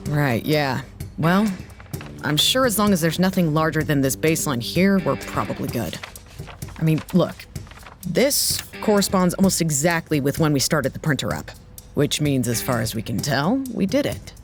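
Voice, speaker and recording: A low-voiced woman researcher. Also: lively intonation, normal speed, over music. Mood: reassuring. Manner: casual, hedging, reassuring. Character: analytical, reassuring woman researcher reading data. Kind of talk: audio drama acting.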